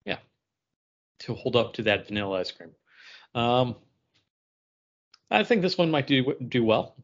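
A man's voice: mid-range voice, sing-song, a little slow, lo-fi sound. A man co-hosting a podcast in casual chat, rambling and often repeating himself as he goes. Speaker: podcast co-host. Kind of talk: casual comic book chat.

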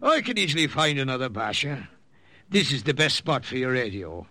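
An actor playing a kindly, paternal missionary priest, halting and avuncular, in old radio drama style. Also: male voice, medium pitch, big pitch swings, sharp tone, no room sound. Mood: jovial. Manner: halting, avuncular, with little hesitations. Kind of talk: 1940s-50s radio drama acting.

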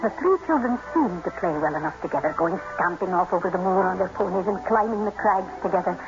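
An actress playing a loyal housekeeper who narrates the story, plain-spoken and ominous, in an old radio drama. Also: female voice, lowish voice, lively intonation, fast, over music. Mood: narrating. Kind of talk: 1940s radio acting and narration. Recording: muffled old radio recording with loud hiss.

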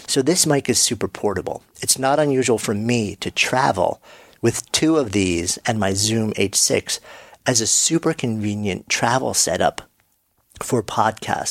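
A man with a deep voice and a bit of fry talks through his recording gear in a relaxed, friendly way. The sound is dry and close, with no room around it, as he switches between a studio microphone and a headset. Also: no echo. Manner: friendly, demonstrative, self-deprecating. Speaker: podcaster showing his gear. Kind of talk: casual explainer talk. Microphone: studio dynamic mic on swing arm, later headset mic.

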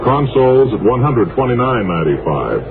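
An actor playing a boastful phonograph salesman in a mock radio ad, with brisk sales patter. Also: mid-range voice, big pitch swings. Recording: tinny, hissy 1950s radio broadcast.

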